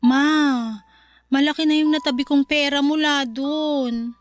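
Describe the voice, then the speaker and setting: Woman acting a very high-voiced, defensive grown child. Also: fairly even intonation, unhurried. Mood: hesitant, defensive. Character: defensive, envious grown child of an ailing mother. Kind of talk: Filipino radio-style drama acting. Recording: music bed under the voice.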